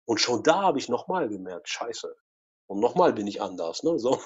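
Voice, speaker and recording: Interview guest, forties, husky voice, rambling storyteller, muffled recording. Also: male voice, mid-range voice, big pitch swings, moderate pace. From former Soviet Union. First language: Russian. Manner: rambling with many fillers and tag questions. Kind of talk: casual personal storytelling.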